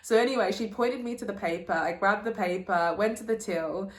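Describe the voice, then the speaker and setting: A woman's voice: medium pitch, a little fast. A young woman hosting a casual sit-down vlog, chatting informally with slang and telling anecdotes. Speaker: vlogger, channel host. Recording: roomy, echoey indoor sound.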